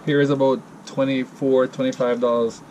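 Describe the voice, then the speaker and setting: A man's voice: mid-range voice, little pitch movement, normal speed, dark tone, a little hiss or hum, rough recording. A man from Jamaica with a Jamaican accent, casually talking through a drink recipe in a rambling, self-correcting way. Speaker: home cocktail vlogger. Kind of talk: casual step-by-step recipe demo.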